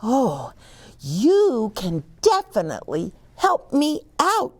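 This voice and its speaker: A woman's voice: on the high side, wildly swooping pitch, slow pace, voice pushed up, heavily acted. A woman voicing an anxious young male stage magician in a storybook, talking in a pleading, flustered way.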